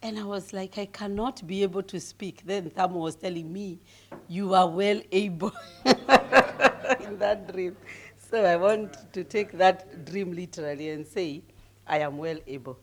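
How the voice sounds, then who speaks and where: A middle-aged woman from Kenya with a deep voice, preaching as a guest speaker, humble and given to laughing, growing loud and emphatic as she reads scripture. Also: unhurried. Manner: grows loud and emphatic when reading scripture. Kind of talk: sermon.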